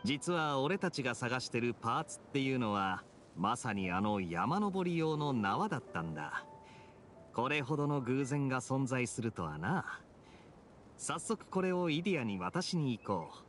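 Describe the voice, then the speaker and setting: A man playing a suave, self-assured young swordsman in an anime game, with a smooth, nonchalant way of talking. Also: medium pitch, sing-song, moderate pace, a bit bright, slight background noise. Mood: smug. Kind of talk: Japanese anime game voice acting.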